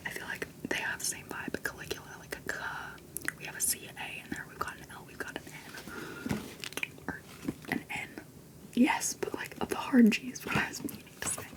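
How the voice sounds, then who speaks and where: A woman's voice: moderate pace, poor audio. Whispering young woman rambling about books. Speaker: video creator. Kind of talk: whispered book-talk ramble.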